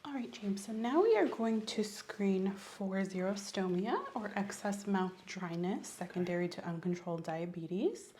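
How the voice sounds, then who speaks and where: A soft-spoken woman doing an ASMR medical exam roleplay, often dropping to a whisper as she gives gentle, reassuring instructions. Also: medium pitch, measured pace, few pauses, a little hiss or hum. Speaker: ASMR creator playing a doctor.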